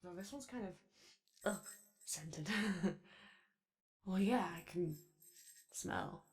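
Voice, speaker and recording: A woman voicing an anxious, eager and gentle robot daycare attendant in an ASMR roleplay, speaking in a hesitant, rambling way. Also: lowish voice, lively intonation. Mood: sheepish, eager. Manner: hesitant, rambling, halting speech. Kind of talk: improvised-sounding ASMR character roleplay.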